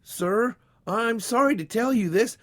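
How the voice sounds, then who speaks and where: A man's voice: extremely high pitch, measured pace, no echo, clean studio sound, pitched above the performer's own voice. A man voicing an earnest, naive runaway boy in a storybook, polite and plainspoken. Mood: polite, hesitant. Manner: deferential, plainspoken.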